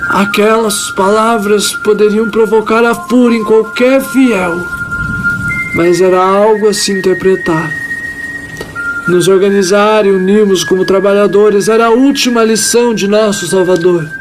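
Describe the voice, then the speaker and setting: A woman voicing a devout small creature, telling its tale in solemn, reverent, dramatic narration with deliberate pauses. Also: mid-range voice, steady tone, music in the background, lightly acted, poor audio. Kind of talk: dramatic first-person scripted narration.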